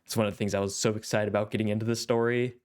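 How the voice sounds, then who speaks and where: Young male anime host, stuffy-nosed, smooth low-ish voice, rambling casual commentary. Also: little pitch movement, racing, darkish tone. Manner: thoughtful, rambling, with frequent fillers. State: congested, clearing his throat. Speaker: anime reaction channel host.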